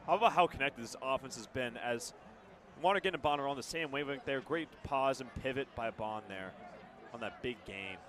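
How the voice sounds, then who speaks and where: A man's voice: mid-range voice, a little fast, a bit bright. A man giving conversational, analytical color commentary on a live football radio broadcast. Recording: outdoor stadium broadcast with background noise.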